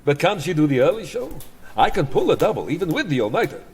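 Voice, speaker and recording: A man's voice: a little high, big pitch swings, fast talker, a little mellow, slightly rough, heavily acted. A man playing a weary, exasperated vampire entertainer in a comic audio drama, grumbling theatrically and petulantly.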